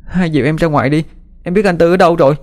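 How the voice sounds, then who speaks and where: A man voicing a sick, frightened young girl in a Southern Vietnamese accent, halting and pleading in an audio story. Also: fairly high pitch, darkish tone, voice pushed up, close to an everyday voice. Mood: insistent. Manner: halting, pleading, repeats words. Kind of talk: dramatised audio story narration.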